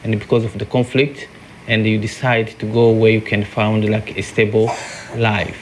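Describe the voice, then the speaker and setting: A man tells his own story in an interview. He speaks haltingly in a slightly low voice, with faint ambient noise in the background. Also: level tone. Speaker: interviewee, migrant. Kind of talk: personal testimony.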